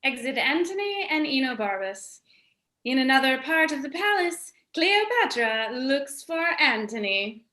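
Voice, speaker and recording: Woman reading stage directions, scripted narration, bright voice, roomy echo. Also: high-pitched, big pitch swings, unhurried. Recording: echoey room.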